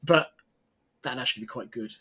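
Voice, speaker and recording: A man's voice: medium pitch, fairly quick, pushed and bright. A man chatting eagerly on a video call, rambling and trailing off as he talks. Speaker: games journalist, panelist. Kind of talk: casual video call chat.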